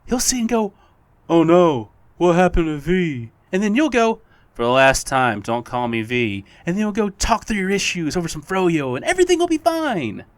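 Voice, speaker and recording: Audio drama role for an actor: mischievous non-human companion, chuckling and needling, mid-pitched with very animated delivery. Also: normal speed, dry recording, lightly acted. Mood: teasing. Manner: teasing, chuckling, exaggerated. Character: playful petty non-human best friend, teasing and theatrical.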